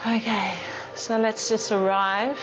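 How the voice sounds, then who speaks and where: A woman leading a gentle yoga class as the teacher, guiding with a calm, soothing, gently instructing manner. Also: fairly high pitch, slow pace, low-quality recording.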